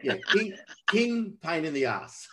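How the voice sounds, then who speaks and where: Excitable joking man hosting a podcast. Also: a little high, a little slow, noisy background. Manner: jokey, excitable, laughs a lot. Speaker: podcast host. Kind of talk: casual interview.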